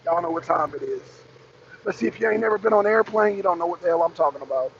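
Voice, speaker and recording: A raspy-voiced man hosting a casual rant to his viewers from his truck, blunt and profane as he calls out rivals. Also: high voice, animated, fast, lo-fi sound, a little hiss or hum. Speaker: host. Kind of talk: casual rant to a live audience. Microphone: phone camera.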